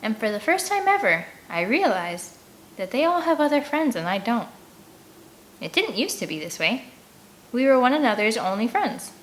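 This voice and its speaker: A woman's voice: fairly high pitch, sing-song, moderate pace. Woman reading a children's audiobook over background noise. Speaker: audiobook reader. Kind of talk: scripted read-aloud narration of a first-person children's novel.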